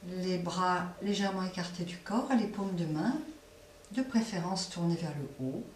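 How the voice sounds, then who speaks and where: A woman leading a guided relaxation as a yoga nidra teacher, speaking softly and unhurriedly with long pauses between phrases. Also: lowish voice. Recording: roomy echo, distant mic, faint noise.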